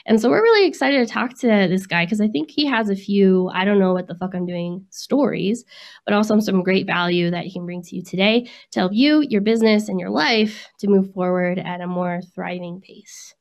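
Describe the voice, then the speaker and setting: A woman's voice: mid-range voice, normal speed, creaky. A woman from Colorado co-hosting a podcast, upbeat and chatty, laughing along with her guest. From Colorado, United States. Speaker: podcast co-host. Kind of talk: casual interview chat.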